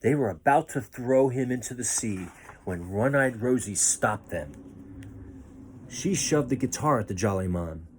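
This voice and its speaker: A man reading a children's story aloud as a storyteller, expressive and deliberate. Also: mid-range voice, slight background noise.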